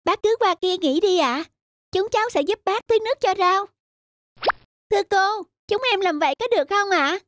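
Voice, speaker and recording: High-pitched cartoon schoolchild. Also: female voice, normal speed, dry recording. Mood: eager to help. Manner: childlike, polite, eager. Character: eager, timid little schoolchildren, sweet and polite. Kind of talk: children's cartoon dubbing. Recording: music behind the voice.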